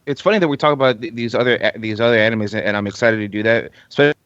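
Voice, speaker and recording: A man's voice: mid-range voice, very fast, a bit bright, dry recording, highs cut off. A man guesting on a casual podcast, easygoing and agreeable.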